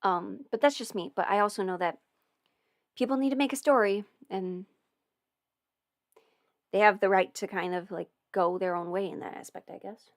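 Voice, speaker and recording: A woman's voice: medium pitch, moderate pace, pauses often, dry recording. Young woman rambling on a livestream, laughs a lot, dry close mic. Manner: chatty, rambling, laughs often, swears casually. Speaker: audio performer answering viewer questions. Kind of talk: casual livestream chat.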